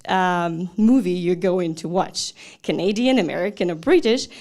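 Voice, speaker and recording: A woman's voice: medium pitch, a little mellow. A woman, a language teacher giving a chatty lecture to camera and asking the viewer questions. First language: Russian.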